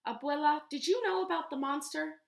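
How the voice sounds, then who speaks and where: A woman's voice: high-pitched, normal speed, pitched above the performer's own voice. A woman voicing a curious, eager little girl who asks questions in a raised voice, in an echoey room.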